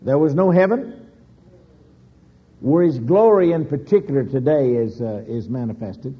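A man preaching a sermon slowly and emphatically, with dramatic pauses and sudden rises in pitch. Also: breathy edge. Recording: dull lo-fi recording.

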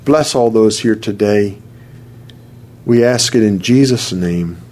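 A man preaching to a congregation and praying aloud fervently, calling on the Lord again and again and reading scripture slowly. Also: fairly low pitch, a little mellow. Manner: fervent, repeats "Lord" often; reads scripture slowly and deliberately. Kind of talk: sermon and spoken prayer. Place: church service with congregation responding.

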